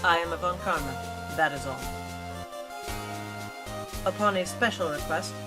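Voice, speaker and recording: A woman acts out an imperious young lady prosecutor from a courtroom video game. The voice is fairly low, commanding and full of formal disdain, over loud background music. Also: a little slow, lightly acted. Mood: haughty. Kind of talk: game fan dub acting.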